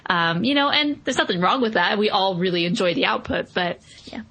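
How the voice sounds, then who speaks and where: A woman co-hosting a film review podcast, chatting casually with enthusiasm and clear opinions. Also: medium pitch, lively intonation, fairly quick, a bit bright. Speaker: podcast host.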